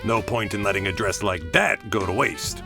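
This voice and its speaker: Man acting a gravelly, gruff older agent. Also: on the low side, normal speed. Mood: gruffly kind. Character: gruff, protective older male agent, wry and stubborn. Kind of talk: comic dub voice acting. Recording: music behind the voice.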